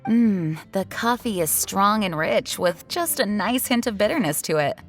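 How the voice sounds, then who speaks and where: Earnest, expressive young woman leader. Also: mid-range voice, soft background music. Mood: polite, composed. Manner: polite, formal, measured. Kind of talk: video game voice acting.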